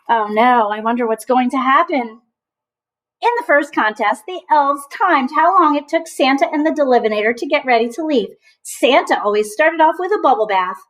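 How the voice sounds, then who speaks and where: Woman, high voice, teacher, children's storybook read-aloud, expressive, emphatic, chatty asides. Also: rough recording.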